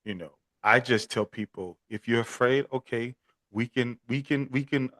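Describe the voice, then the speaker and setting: A man's voice: medium pitch, moderate pace, a bit bright, no echo. A man from Miami speaking as a podcast guest on equine therapy, earnest and prone to repeating words as he starts a thought. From Miami, Florida. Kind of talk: casual interview talk.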